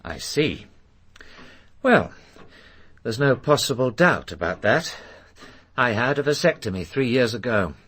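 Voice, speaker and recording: An actor playing a self-assured English doctor in a radio drama, polite and dry, with a coolly evasive manner. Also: male voice, medium pitch, very animated, measured pace, fairly bright tone.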